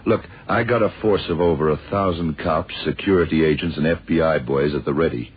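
An actor playing a gruff, confident government investigator in a radio drama, talking in a terse, world-weary way. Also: male voice, rumbling low, fairly quick, heavily acted. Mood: firm, assured. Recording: dull, noisy old radio recording.

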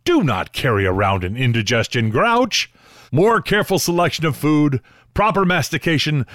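Animated vintage radio host. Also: male voice, fairly high pitch, no room sound, clean studio sound. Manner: theatrical, earnest, polished. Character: dramatic, cheerful old-time male radio host and pitchman. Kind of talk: 1930s radio narration and sponsor read. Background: faint music.

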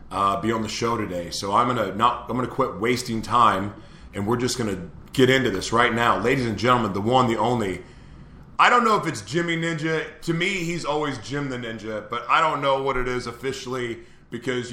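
A man's voice: medium pitch, bright, forceful tone, reverberant. A man hosting a live morning show, upbeat and laughing easily as he chats with a guest. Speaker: host. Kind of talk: casual live show chat.

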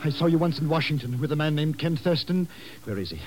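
A man's voice: fairly high pitch, animated, quick pace, darkish tone, natural voice. An actor playing a desperate hunted man in a 1950s radio drama, pleading urgently. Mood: urgent, demanding. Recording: noisy old 1950s radio recording.

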